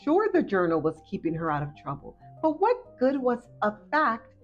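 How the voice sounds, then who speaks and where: A woman reading a children's picture book aloud as a storyteller, with expressive, excited asides to her young listeners. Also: on the high side, normal speed, highs cut off, soft background music. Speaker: read-aloud storyteller and host.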